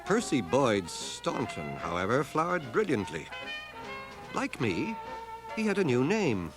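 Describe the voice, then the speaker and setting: An actor playing a dry, self-deprecating bookish man who narrates his memoirs in a measured, literate radio drama style. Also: medium pitch, wildly swooping pitch, music in the background, rough recording. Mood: wry, reflective. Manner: measured, literate, wry delivery. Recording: 1980 radio drama, home cassette transfer.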